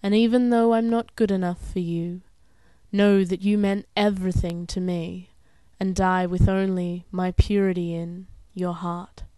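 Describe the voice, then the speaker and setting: A young woman reciting spoken-word poetry in an earnest, measured and dramatic way, with deliberate phrasing. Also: on the low side, flat delivery, dark tone, dry recording. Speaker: poet.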